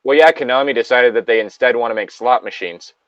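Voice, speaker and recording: A man's voice: medium pitch, fast talker, fairly bright tone, no echo, muffled recording. A man chatting casually with friends on a voice call, rambling and swearing freely as he goes.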